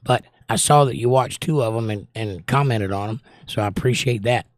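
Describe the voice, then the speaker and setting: A slightly hoarse middle-aged man hosting a livestream, chatting casually and teasing his viewers as he reads their comments. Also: medium pitch, moderate pace, no room sound. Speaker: livestream host. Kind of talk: casual chat reading viewer comments aloud.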